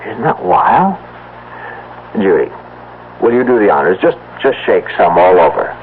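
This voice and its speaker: Earnest, swooping farmer husband, old radio. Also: male voice, low voice, sing-song, heavily acted. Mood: tender, uneasy. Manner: halting, stammering urgency. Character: anxious, earnest farmer husband, loving and stubborn. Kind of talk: vintage-style radio drama acting. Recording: muffled, noisy old radio drama recording.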